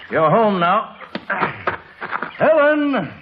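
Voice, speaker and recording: A kindly father in a vintage radio play, hearty and very slow in his speech. His voice rides high and swings widely, heard through a muffled, noisy old broadcast recording. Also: big pitch swings, full character voice. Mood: hearty, welcoming. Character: kindly father bringing home a foundling boy. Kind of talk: 1940s-50s radio drama acting.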